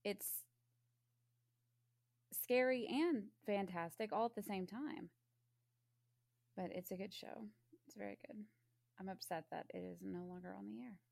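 A woman with a mid-pitched voice full of vocal fry, in a very dry recording. She talks casually as a podcast co-host, leaning hard on her words. Her delivery is sardonic and peppered with swearing. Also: measured pace, long pauses, darkish tone, no echo. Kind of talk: casual chat.

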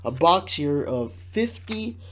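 A man's voice: on the high side, big pitch swings, slow pace, fairly bright tone. A man with a nasal, congested voice, hosting a casual podcast and reading questions aloud between jokes and laughs. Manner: jokey, laughs often, reads questions aloud. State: congested, sick. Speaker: podcast host.

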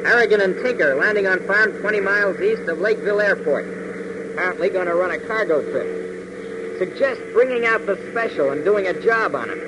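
A man's voice: high-pitched, animated, fairly quick, pauses often. An actor playing a young villainous pilot henchman making clipped, businesslike radio calls in a 1940s adventure serial. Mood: terse, scheming. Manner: clipped radio-call phrasing. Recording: noisy plane cockpit on old radio.

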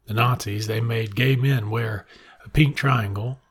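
Husky, irreverent American man. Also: medium pitch, steady tone, measured pace. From Mississippi, United States. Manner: irreverent, sardonic, profane. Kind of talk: comic monologue commentary.

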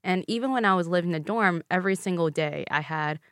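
A woman in her twenties, raised in the American South with Vietnamese as her first language, explaining her family story calmly and steadily in an interview. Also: lowish voice, quick pace, no room sound, clean studio sound. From Louisville, Kentucky, USA. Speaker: interview guest. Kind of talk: conversational interview.

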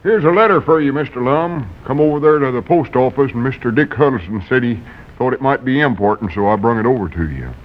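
An actor playing a polite, slow-witted young country fellow in an old-time radio comedy, with a rural Southern accent and deferential talk. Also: male voice, mid-range voice, expressive, natural voice. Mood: polite, simple. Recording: muffled vintage radio recording.

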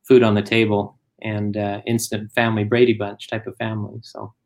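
A man from Germany raised in Utah, a guest in a casual interview, telling family stories plainly with frequent pauses. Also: lowish voice, little pitch movement. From born in Germany, raised in Utah.

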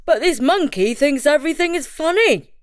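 A woman voicing a big, gruff, lumbering male bear who growls. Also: high voice, expressive, no room sound, studio quality, voice pushed up. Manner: growling, slow.

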